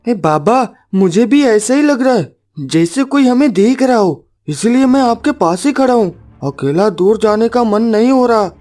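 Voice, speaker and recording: A woman's voice: a little high, lively intonation, measured pace, warm tone, faint music behind. A woman voicing a devoted, brave young son of a woodcutter, earnest and tender, in a cartoon story. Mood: uneasy, loyal.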